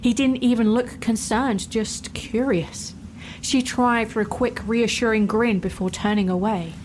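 A woman narrating a fantasy story in a measured, wry storytelling manner. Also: fairly high pitch, fairly even intonation, slight background noise, low-quality recording. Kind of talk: dramatic fan fiction narration. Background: music.

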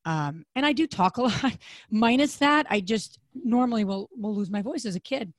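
A woman in her thirties co-hosting a podcast, with a deep, raspy, hoarse voice, chatting casually and rambling with fillers and restarts. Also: expressive, moderate pace, no room sound, studio quality. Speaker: podcast co-host.

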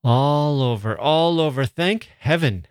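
A high, exclaiming society mother, weary and bossy in turn, lifted well above the man who reads her voice. She fusses and orders everyone about in a dry, close storybook reading. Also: animated, a little slow, smooth, no echo. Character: tired fussy upper-class mother, brisk and bossy.

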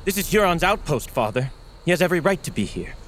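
A man's voice: fairly high pitch, very animated, quick pace, fairly bright tone, a little hiss or hum. An actor playing a proud, hot-headed young nobleman eager for war in a fantasy audio drama, with an intense, formal delivery. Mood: defiant.

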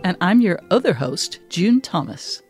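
A woman's voice: fairly low pitch, very animated, measured pace, darkish tone, slightly rough, soft background music. A woman hosting a podcast in a conversational style. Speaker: podcast host.

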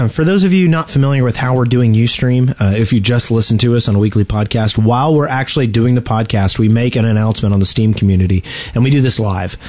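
A man's voice: medium pitch, fast talker, darkish tone. A man co-hosting a gaming podcast, explaining things to listeners in a casual, chatty way. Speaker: podcast co-host.

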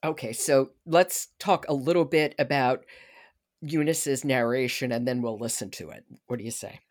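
A woman's voice: very deep voice, normal speed, darkish tone. A woman hosting a podcast in a conversational interview style. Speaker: podcast host.

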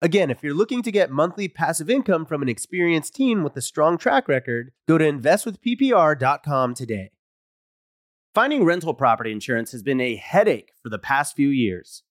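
Lively male ad announcer. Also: a little high, dry recording, studio quality. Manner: upbeat, salesy. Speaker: ad reader. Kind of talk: scripted ad read.

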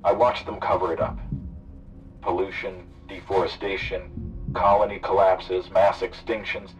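An actor playing a calm, sardonic male hijacker who threatens and persuades by turns, sounding muffled and distant. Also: low-pitched, a little slow, faint music behind. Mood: earnest. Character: smooth male eco-activist hijacker, menacing. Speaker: radio drama character.

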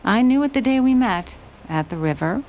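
A woman playing a devoted, soft-spoken wife with an uncanny calm in an audio horror drama. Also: on the high side, normal speed, slight background noise, close to an everyday voice. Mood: tender, coaxing.

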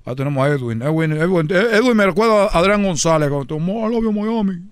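A high-voiced man rattles on at speed on a radio show, tripping over repeated words as he jokes. His voice sits close and dry. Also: expressive, clean tone, no room sound. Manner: fast-talking, stammering repetitions, calls everyone "chico". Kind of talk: rapid comic banter.

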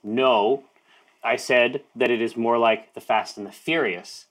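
A man's voice: mid-range voice, a little slow. Playful teasing man running the game. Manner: playful, teasing, jumps into loud excited bursts. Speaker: game master. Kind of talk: hosting a tabletop game.

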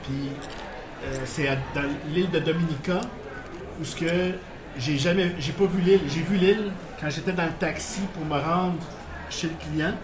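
A man's voice: on the high side, fairly even intonation, normal speed, a crowd in the background. A man with a Quebec French accent, telling work stories in a rambling way with many restarts and chuckles, at a bar in front of a live audience. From Portuguese background, living in Quebec. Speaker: guest. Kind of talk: casual storytelling interview. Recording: echoey bar with background noise.

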